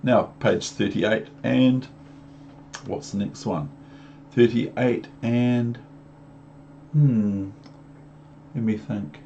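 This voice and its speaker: A man's voice: mid-range voice, drawn-out words, long pauses, dark tone, lo-fi sound, slight background noise. A man with a New Zealand accent reading a storybook to young children, rambling into chatty asides and questions for the listener. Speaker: storybook reader.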